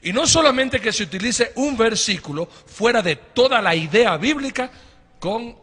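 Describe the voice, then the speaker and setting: A middle-aged man hosting a religious programme, explaining emphatically to viewers with drawn-out stresses and rhetorical questions. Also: extremely high pitch, lively intonation, fairly bright tone, slightly rough. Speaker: host of a religious panel programme. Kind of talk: preaching-style explanation to viewers. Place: wood-panelled indoor studio or meeting room. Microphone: handheld microphone. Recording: very echoey room.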